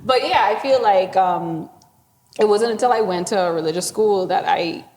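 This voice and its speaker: A woman's voice: mid-range voice, moderate pace, a little mellow. A young woman with a Bahamian accent, co-hosting a casual podcast chat, talking loosely with lots of "like" and laughing often. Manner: chatty, laughs often, trails off with "like". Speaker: podcast co-host. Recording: roomy reverb, faint background hiss.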